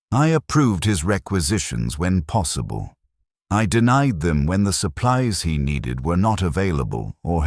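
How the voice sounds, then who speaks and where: Very deep-voiced man narrating somberly. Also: no echo, studio quality. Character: weary, stoic military supply clerk recounting wartime losses. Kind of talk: scripted first-person story narration.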